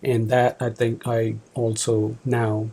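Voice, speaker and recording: A man in his forties from Pakistan, a department manager answering interview questions in an office, halting with many fillers and restarts. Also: mid-range voice, little pitch movement, dark tone, breathy edge.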